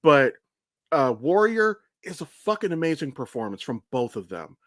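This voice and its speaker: A man's voice: fairly high pitch, big pitch swings, moderate pace, no room sound, clean studio sound. A man co-hosting a podcast in casual chat, with a blunt, enthusiastic, sweary way of talking. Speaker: podcast co-host and amateur voice actor.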